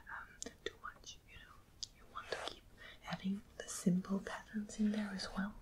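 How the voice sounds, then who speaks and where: A woman speaking in a soft, breathy whisper, gently murmuring to a customer as a shop assistant in an ASMR roleplay. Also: mid-range voice, rough recording. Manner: gentle, murmured, hesitant phrasing addressed to a customer. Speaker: ASMR host playing a quilt shop assistant.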